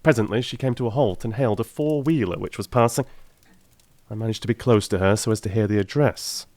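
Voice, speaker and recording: A man playing a brisk, gleeful, self-assured gentleman detective in an audiobook, telling things in an animated, emphatic way. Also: lowish voice, no room sound, natural voice. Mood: lively, self-mocking.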